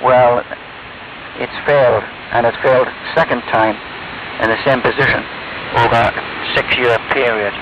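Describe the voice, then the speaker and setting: A man's voice: mid-range voice, fairly even intonation, normal speed. Older man, rambling chatty ham operator, heard through muffled, hiss-filled shortwave. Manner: chatty, rambling, self-deprecating. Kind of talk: casual on-air radio chat. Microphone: radio transceiver mic heard over the air. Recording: noisy, band-limited shortwave radio hiss.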